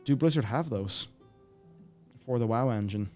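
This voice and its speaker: Male gaming host, average pitch, casual and rambling, thinks out loud while fielding viewer comments. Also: measured pace, faint music behind.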